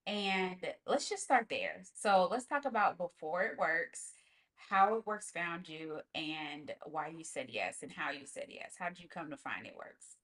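A woman's voice: lowish voice, expressive, unhurried, fairly bright tone. A woman hosting a casual online team talk over a video call, speaking in an effusive, emphatic way. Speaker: host interviewer.

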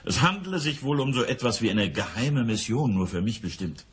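An actor playing a casual, wryly humorous wealthy businessman in a radio crime drama, with a very rough, husky voice. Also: mid-range voice, expressive, a little fast, a little hiss or hum, lightly acted. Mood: amused. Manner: salopp, humorous, chuckling asides.

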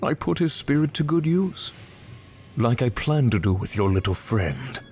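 A man's voice: medium pitch, big pitch swings, moderate pace, darkish tone, slightly rough, soft background music. A man playing a smug, menacing, cruel beast-man swordsman in a fantasy video game, speaking without hurry. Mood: gloating, menacing.

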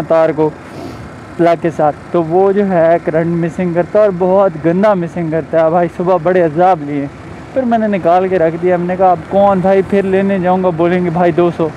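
A man's voice: high voice, dark tone. A young man with a Pakistani Urdu accent, a delivery rider chatting casually to viewers while riding a motorbike through town, rambling and calling them brother. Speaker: food delivery rider and motovlogger. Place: outdoors on a motorbike on a town road. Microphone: helmet or chest camera while riding. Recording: dull lo-fi sound with faint engine and traffic noise.

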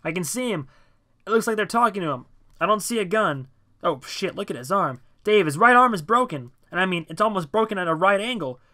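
A man voicing an excitable, alarmed male friend who shouts profane play-by-play in short exclamatory bursts for an audio story. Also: on the high side, fairly quick, close to an everyday voice. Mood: frantic excitement.

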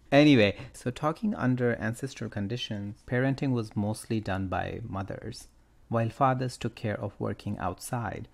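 A man hosting a podcast, telling a story and discussing it casually, with a gravelly creak in his voice. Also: on the low side. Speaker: podcast host.

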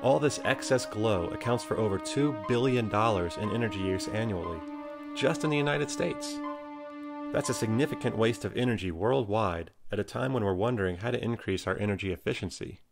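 A man's voice: on the low side, a little fast, gravelly. A man reading scripted narration for a short documentary. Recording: voice-over under loud music. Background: loud music.

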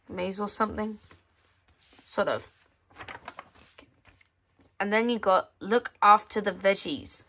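Woman casually reading aloud, halting delivery. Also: medium pitch, fairly bright tone. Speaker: magazine reviewer. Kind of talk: casual read-aloud walkthrough of a children's magazine. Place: indoors at a tabletop.

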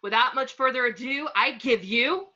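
A slightly dull call recording carries a woman as a theatrical fashion-mogul villain in a comedy table read. The voice is high, sharp and bright, with grandiose, fussy phrasing. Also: normal speed. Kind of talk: amateur table read over video call.

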